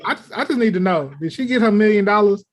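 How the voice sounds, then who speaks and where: A man's voice: high voice, expressive, quick pace. A man on a talk show panel chatting casually, giving opinions in a colloquial, conversational way.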